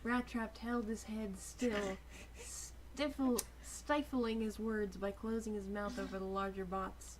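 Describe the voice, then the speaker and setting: A woman's voice: mid-range voice, fairly even intonation, slow. A woman reading fan fiction aloud informally as a co-host, giggly and often stumbling over her words. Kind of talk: informal dramatic reading with amused chat. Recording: very noisy home recording with clicks.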